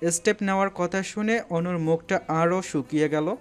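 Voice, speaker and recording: A man's voice: high voice, moderate pace, smooth. A man narrating a scripted romantic story in a dramatic storytelling style.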